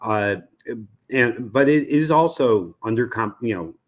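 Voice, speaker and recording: An American man speaking as an interview guest, giving conversational expert commentary in a measured way, often halting to search for the right word. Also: mid-range voice, animated. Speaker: interview guest, radio research executive.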